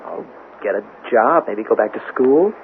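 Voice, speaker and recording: An American actor playing a defensive, plaintive young washed-up athlete in a 1970s radio drama. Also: male voice, on the low side, lively intonation, normal speed. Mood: protesting. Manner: protesting, hesitant, plaintive. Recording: tinny, hissy old radio broadcast.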